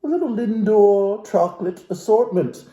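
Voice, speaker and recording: Theatrical man, swooping pitch, roomy sound. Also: animated, mellow, lo-fi sound. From Alaska. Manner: florid, mock-genteel, dramatic emphasis and pauses. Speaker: host reading aloud from a book. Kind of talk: comedic theatrical book reading with asides to viewers. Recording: roomy, echoey room sound.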